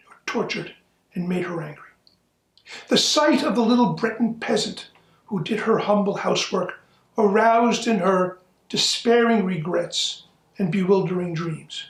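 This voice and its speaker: Male reader for classic short fiction, heard in an echoey room, away from the mic. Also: high-pitched. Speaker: volunteer story reader. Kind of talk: reading a classic short story aloud. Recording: echoey room, distant from the microphone.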